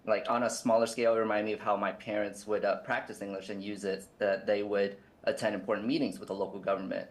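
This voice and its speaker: A man's voice: on the low side, little pitch movement, fast talker, hardly any pauses. A man from the United States interviewing filmmakers, asking long, earnest questions with many fillers.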